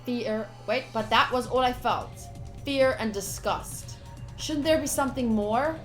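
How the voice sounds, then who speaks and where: A woman's voice: fairly high pitch, a little slow, a bit bright. A woman streamer reading visual novel text aloud, with casual commentary of her own. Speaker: game streamer. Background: game music.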